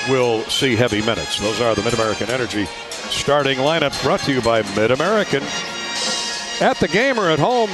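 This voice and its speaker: A man working as a radio play-by-play announcer, giving sports commentary in a somewhat halting way. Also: mid-range voice.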